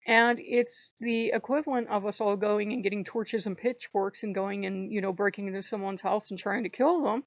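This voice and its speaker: A slightly hoarse woman hosting her own channel, talking in an earnest, rambling way and repeating phrases for emphasis. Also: mid-range voice, fairly even intonation, normal speed, hardly any pauses, dry recording, highs cut off. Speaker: channel host. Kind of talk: casual spiritual vlog monologue.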